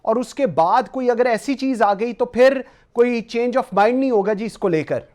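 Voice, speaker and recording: A man's voice: very high-pitched, unhurried, a little mellow. Pakistani male host asking probing questions. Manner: wordy, probing, interjects politely. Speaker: talk show host. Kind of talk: political interview questioning.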